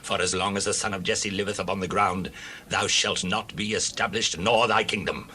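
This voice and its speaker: An actor playing a wrathful, domineering king in a Bible audio drama, with a raspy voice and stern, archaic, declamatory delivery. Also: low-pitched, fairly even intonation, normal speed, bright, forceful tone, a little hiss or hum. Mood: furious. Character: wrathful, domineering king berating his son.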